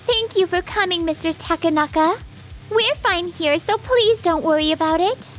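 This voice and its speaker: A woman's voice: very high-pitched, moderate pace, a bit bright, faint music behind. A woman voicing a polite, sweet young lady in an anime-style manga dub.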